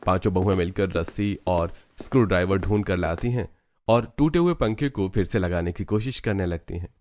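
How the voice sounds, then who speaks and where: A man's voice: sits low, normal speed, a little mellow. A man reading scripted story narration for a cartoon.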